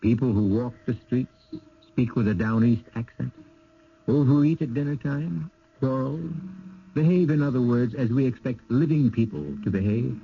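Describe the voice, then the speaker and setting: A man narrating a scripted radio mystery drama as host, in a measured, philosophical, theatrical way. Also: medium pitch, creaky. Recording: muffled, noisy old radio recording.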